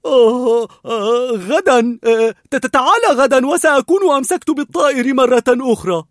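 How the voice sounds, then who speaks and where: Nervous eager dog, stammering, high and loud, put on by a storyteller, lively swoops, dry storybook read. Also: a little fast, dry recording, natural voice. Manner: stammering and jittery. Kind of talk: storybook reading.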